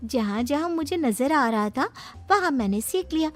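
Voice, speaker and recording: A woman's voice: high voice, animated, normal speed, faint music behind. A woman voicing a cheeky young bride. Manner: coy, cheeky. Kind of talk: cartoon voice acting.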